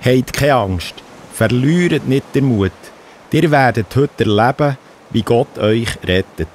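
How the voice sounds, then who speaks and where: A man's voice: medium pitch, expressive, takes it slowly, slight background noise. A man playing a steadfast prophet who leads his people, proclaiming solemnly in a children's Bible audio drama. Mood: reassuring, firm.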